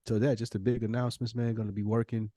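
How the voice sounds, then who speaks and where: A man's voice: fairly low pitch, flat delivery, quick pace, mellow, no echo, clean studio sound. An American man co-hosting a podcast, chatting casually in an informal, slangy way. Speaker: podcast co-host.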